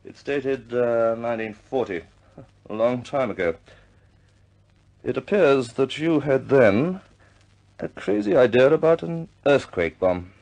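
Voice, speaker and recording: An actor playing a grave, businesslike senior British air force officer, briefing in a deliberate, measured way in 1950s war film style. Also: male voice, mid-range voice, animated, a little mellow. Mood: urgent, firm.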